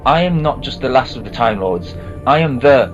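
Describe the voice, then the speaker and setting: A man's voice: medium pitch, lively intonation, normal speed, lightly acted. A man playing a weary alien time traveller who is grim and resolute, speaking earnestly and insistently in an amateur audio drama. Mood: defensive. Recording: dull audio, loud music.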